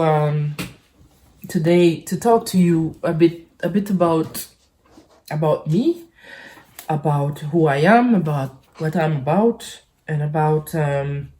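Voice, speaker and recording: A woman from the hosts a casual personal vlog in a low, soft-edged, relaxed voice. She takes her time, speaking slowly and haltingly, often pausing and circling back over her words. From French Caribbean island. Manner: hesitant, halting, rambling with frequent pauses and repeats. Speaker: YouTube channel host.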